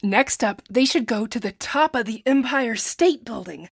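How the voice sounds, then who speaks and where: A woman's voice: on the high side, expressive, moderate pace, vocal fry, slightly rough, no echo, heavily acted. Bossy meddling romantic lady, emphatic, dry sound, radio comedy style. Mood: eager, scheming. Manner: emphatic, insistent, scheming. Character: bossy, over-eager romantic meddling woman. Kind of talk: romantic comedy audio drama acting.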